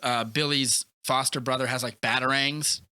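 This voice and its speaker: A man chatting casually and enthusiastically about films as a podcast co-host, rambling excitedly with lots of "like". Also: medium pitch, moderate pace, clear, resonant tone, no room sound. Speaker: movie review podcast co-host. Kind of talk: casual enthusiastic film chat.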